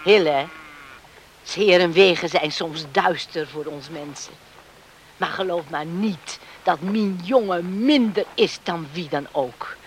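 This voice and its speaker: A woman's voice: on the low side, takes it slowly, a little hiss or hum. An actress playing a middle-aged, protective mother who scolds plainly and emphatically in a Dutch radio drama. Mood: indignant, defiant. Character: indignant, protective island mother confronting her son's would-be father-in-law.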